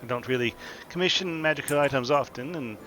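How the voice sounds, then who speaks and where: A man's voice: mid-range voice, fast talker, a bit bright, a little hiss or hum, pitched above the performer's own voice, lightly acted, poor audio. A man voicing a fussy male hat shop proprietor who talks hesitantly and politely.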